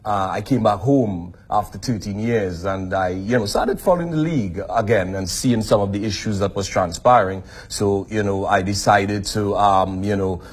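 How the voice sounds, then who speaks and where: A man in his fifties with a Trinidadian accent, a soccer organization representative speaking haltingly in a live TV interview. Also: on the low side, husky. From Trinidad and Tobago. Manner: halting, frequent restarts and fillers.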